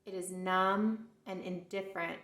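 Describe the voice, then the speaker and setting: A woman's voice: on the low side, steady tone, unhurried, fairly bright tone. A woman reading a poem solemnly in a room with audible echo. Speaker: poetry reader. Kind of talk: solemn spoken poetry reading. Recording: echoey room.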